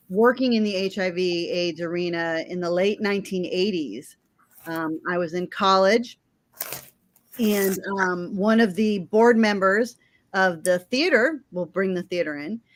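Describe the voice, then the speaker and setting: Friendly woman in her fifties, lawyer. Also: on the low side. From Florida, United States. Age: 50s. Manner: friendly, measured, with frequent ums. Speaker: legal aid attorney. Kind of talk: interview answers.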